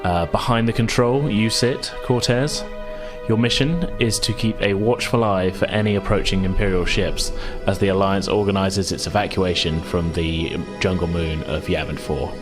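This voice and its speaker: A man narrating a tabletop game as game master, with measured, descriptive storytelling. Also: low-pitched, steady tone, over music.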